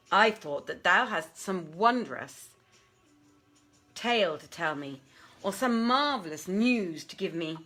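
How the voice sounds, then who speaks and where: A woman's voice: mid-range voice, pushed and bright, heavily acted. A woman voicing a scornful, imperious female jinn in a storybook, haughty and declamatory.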